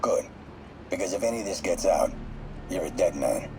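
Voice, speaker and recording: A man's voice: low voice, animated, moderate pace, noisy background. An actor playing a cocky, coolly remorseless mob hitman with an American accent, casually menacing in a video game audio log. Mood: guarded, threatening. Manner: casual, matter-of-fact menace.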